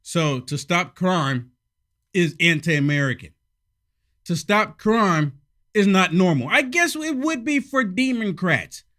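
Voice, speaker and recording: A man hosting casual political commentary, sarcastic and emphatic, a little hoarse from a head cold. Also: high-pitched, big pitch swings, slow, pushed and bright.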